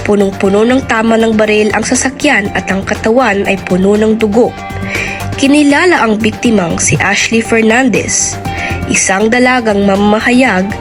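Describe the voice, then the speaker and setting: A woman playing a grave, measured newsreader in a radio drama. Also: fairly high pitch, natural voice. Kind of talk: scripted radio news narration.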